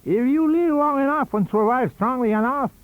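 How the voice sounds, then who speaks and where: High-pitched, cunning old magician. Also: male voice, no room sound. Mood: mystical, instructing. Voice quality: wheezy. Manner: theatrical, halting, emphatic. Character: cunning, wheezy old foreign male scientist-magician, eager and mystical. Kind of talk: audiobook character reading. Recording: dull lo-fi audio cassette.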